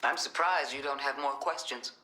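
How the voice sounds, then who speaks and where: An actress playing a commanding middle-aged government director in a video game, measured and coolly manipulative. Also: female voice, very deep voice, pushed and bright, echoey room. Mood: firm, controlled. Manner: measured, authoritative.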